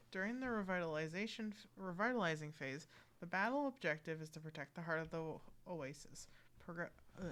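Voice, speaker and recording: A woman's voice: lowish voice, slight background noise. A woman doing casual live gameplay commentary as a streamer, rambling and thinking aloud. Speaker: game streamer. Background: loud video game music and battle sounds.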